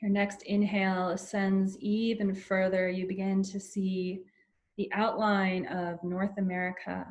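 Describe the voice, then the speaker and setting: A woman leading a guided meditation in a soothing, unhurried way with long pauses between phrases. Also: mid-range voice, little pitch movement, a little mellow.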